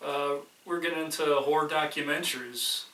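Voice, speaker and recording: Bright-voiced enthusiastic man, echoey room. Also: on the high side, a little fast, far from the mic. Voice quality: enthusiastic, laughs often, casual swearing. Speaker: podcast co-host. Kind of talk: casual chat.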